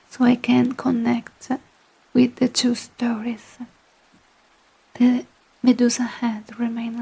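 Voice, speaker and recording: Italian woman, ASMR streamer: slow breathy whisper, mellow tone, hesitant fillers, close mic. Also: on the high side, low-quality recording. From Italy. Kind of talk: soft-spoken ASMR storytelling and chat.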